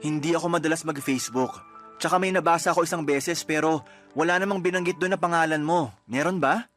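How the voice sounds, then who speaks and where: Man acting a young boyfriend, higher male voice, earnest, patient, placating, radio love drama. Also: brisk, fairly bright tone, soft background music, natural voice. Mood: reproachful. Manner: earnest, placating, mildly reproachful. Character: patient, reasonable young boyfriend, gently scolding.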